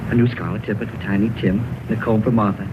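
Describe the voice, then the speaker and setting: A man's voice: lowish voice, fairly even intonation, fast talker, lightly acted. Meek male clerk, acted by a man, hissy recording. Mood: absorbed, muttering. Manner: deferential, apologetic, hesitant. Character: meek, timid, kindly poor male clerk. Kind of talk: 1930s radio acting. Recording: noisy, phone-like 1930s radio broadcast.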